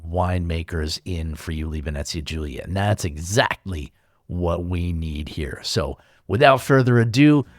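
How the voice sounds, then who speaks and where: A man with a very low voice hosts a relaxed podcast conversation, joking easily with his guest. The recording is dry and close. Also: measured pace, no echo. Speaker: podcast host.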